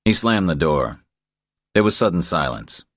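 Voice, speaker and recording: A man's voice: low-pitched, sing-song, measured pace, a bit bright, dry recording, studio quality. A man reading a thriller as a scripted audiobook narration.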